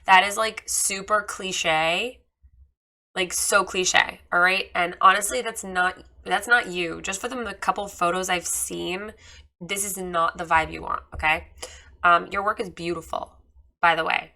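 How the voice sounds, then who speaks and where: A woman with a slightly hoarse voice after losing it, giving a candid, chatty review of a photographer's website with many fillers. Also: on the low side, steady tone, unhurried, sharp tone. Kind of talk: casual screen-share critique.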